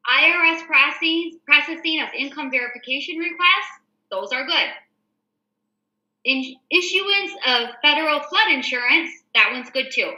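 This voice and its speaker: American female host, very bright voice, informal and explanatory, recorded in an echoey room. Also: high voice, moderate pace. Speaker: show host and real estate agent. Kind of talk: casual reading of a newsletter with commentary.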